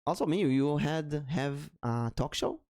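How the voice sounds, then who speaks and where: A man from the Middle East hosting a casual podcast, hoarse after a long day of talking, telling rambling stories full of fillers and restarts. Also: fairly high pitch, measured pace, darkish tone, no echo, clean studio sound. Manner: rambling, stop-start storytelling with many fillers. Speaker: podcast host.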